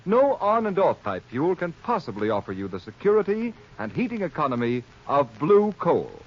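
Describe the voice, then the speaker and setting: An American man announcing a 1930s radio advertisement with emphatic, deliberate enunciation. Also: on the high side, very animated. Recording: muffled 1930s radio recording.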